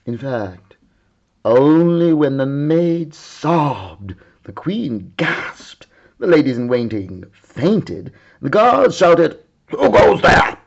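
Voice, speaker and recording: A man tells a children's story with theatrical flair. His fairly high voice moves slowly and swoops widely in pitch. The recording is slightly dull. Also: very animated, creaky, a little mellow. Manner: dramatic, deliberate, theatrical. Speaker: storyteller, art teacher. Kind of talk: expressive children's story read-aloud.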